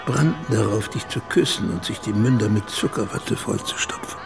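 A man's voice: lowish voice, moderate pace, vocal fry. An actor playing an intimate, needling inner voice that narrates dramatically to a woman in a radio drama, over music. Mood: evocative. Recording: music under the voice.

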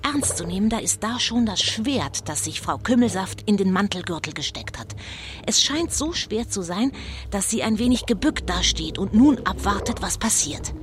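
A woman's voice: medium pitch, animated, close to an everyday voice. Casting: actress as sensation-hungry female reporter, dramatic live report, children's spooky radio drama, music underneath. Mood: suspenseful reporting. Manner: dramatic, deliberate. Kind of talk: radio play acting as live on-the-spot report.

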